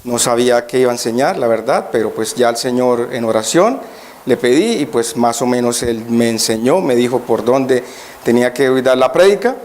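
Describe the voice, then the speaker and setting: A man's voice: medium pitch, lively intonation, moderate pace, darkish tone. A man preaching as a guest in a church, at first nervous, then emphatic, often putting questions to the congregation. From Colombia. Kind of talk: church sermon.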